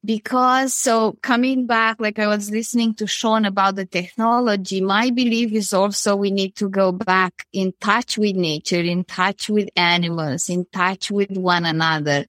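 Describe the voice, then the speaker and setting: A woman's voice: medium pitch, steady tone, moderate pace, hardly any pauses, a bit bright, no room sound. A woman from Romania with a Romanian accent, speaking earnestly as a panel guest about her foundation. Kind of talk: panel discussion on a call.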